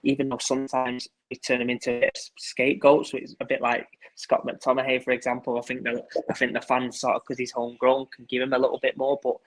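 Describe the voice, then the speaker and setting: Hesitant man, flat delivery. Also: medium pitch, a little fast, few pauses, rough recording. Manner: hesitant, repeats words. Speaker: guest pundit. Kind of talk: casual podcast chat.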